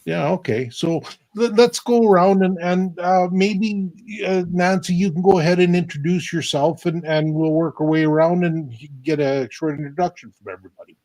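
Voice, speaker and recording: A man's voice: sits high, normal speed, few pauses, a little mellow, dry recording. A middle-aged man from Canada hosting a casual group video call, rambling and restarting his sentences. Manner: rambling, repeats words and restarts. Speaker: host.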